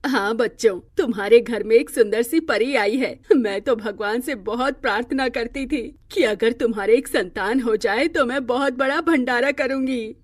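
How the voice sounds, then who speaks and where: Woman voicing doting old grandmother, slightly high voice. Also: normal speed, few pauses, no room sound, studio quality, close to an everyday voice. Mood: joyful, reassuring. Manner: affectionate, emphatic, coaxing. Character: doting, eager old grandmother in a moral cartoon.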